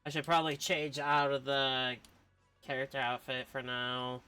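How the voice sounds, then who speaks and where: Bright-voiced woman casually commenting on gameplay. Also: a bass voice, steady tone, moderate pace, clear, resonant tone, soft background music. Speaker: game streamer.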